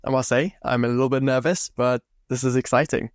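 A man's voice: mid-range voice, steady tone, a little fast, no echo. A young man from Zimbabwe hosting a podcast, telling stories in a loose, chatty way and swearing freely into a close mic. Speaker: podcast host. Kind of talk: casual storytelling monologue.

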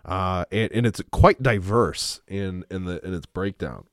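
A pastor in his thirties hosts his Bible podcast with a smooth, lowish voice. He talks in a loose, rambling way, full of fillers and restarts. The sound is close and completely dry. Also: a little slow, no echo. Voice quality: smooth, low. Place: small home studio.

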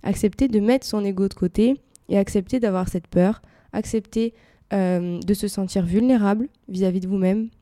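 Woman, head cold, mellow tone, dry close sound, reflective rambling. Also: mid-range voice, steady tone, normal speed, dry recording. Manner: reflective, rambling, many hesitations. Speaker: podcast host and coach. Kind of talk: casual personal monologue. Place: indoors, air-conditioned room.

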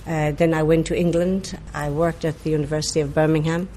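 A woman's voice: low-pitched, flat delivery, fairly quick, warm tone, a little hiss or hum. An older woman from Ireland recalling her past in short, plain, wry sentences. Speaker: interviewee. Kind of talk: personal recollection. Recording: music bed under voice.

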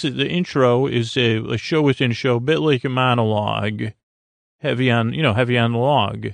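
Creaky guy doing a sleepy bedtime podcast, medium pitch, rambles and stumbles off on tangents, soothing. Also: no echo. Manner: soothing, hesitant, easily distracted, stammering into tangents. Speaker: podcast host. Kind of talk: rambling, meandering bedtime monologue to help listeners sleep.

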